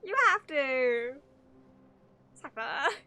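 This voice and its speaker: High, bright, expressive woman streamer. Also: drawn-out words, smooth. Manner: emotive, expressive. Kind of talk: casual live game reaction.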